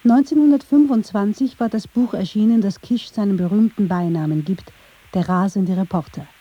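A woman narrating a radio documentary from a script in a measured storytelling style. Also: mid-range voice, warm tone, husky, dull audio.

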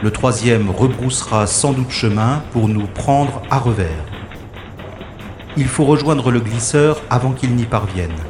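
A wry, cocky secret agent of outer space speaks in a medium-pitched voice played by a man. The sound is roomy, with music clearly behind him, as in a science-fiction radio play. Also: a little slow, natural voice. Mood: brisk, focused. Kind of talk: French sci-fi audio drama, first-person narration and dialogue.

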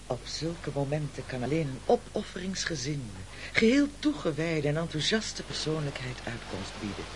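An actor playing an unctuous, manipulative castaway orator in a radio play, grandiloquent and ingratiating. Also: male voice, fairly high pitch, sing-song, moderate pace, a little hiss or hum. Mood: solemn flattery. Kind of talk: theatrical radio play acting.

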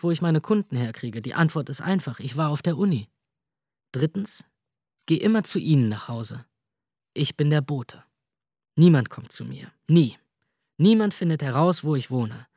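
An actor playing a cool, calculating young drug courier in a radio drama, speaking in a measured inner monologue. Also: male voice, a little high, darkish tone, no room sound, studio quality.

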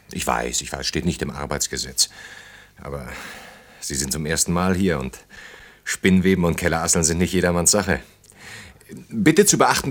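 A courteous bachelor of thirty-two in a radio play. His voice is low, and he talks in a hesitant, conversational way with dry, gentle irony, eager to be a good host. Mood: self-deprecating, eager. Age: 30s. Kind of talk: naturalistic German radio play dialogue.

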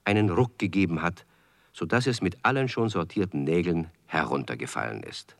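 A man's voice: deep voice, lively intonation, normal speed, creaky. A man narrating a scripted 1970s children's radio play as a storyteller. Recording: faintly noisy 1970s radio recording.

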